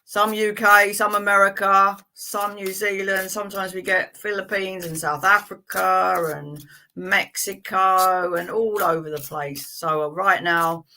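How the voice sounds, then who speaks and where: Bright-voiced chatty woman tarot reader. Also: medium pitch, fairly even intonation, unhurried, slight background noise. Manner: chatty and friendly, calls viewers "girlfriend"; frequent laughs and "um" fillers. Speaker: tarot reader hosting live readings. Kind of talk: live-stream chat, reading cards for viewers.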